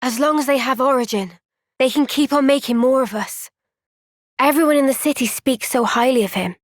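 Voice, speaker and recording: Woman acting as a young fighter girl in a game cutscene, high pitched, unhurried, sincere and firm, really dry sound. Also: no echo, lightly acted. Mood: thoughtful.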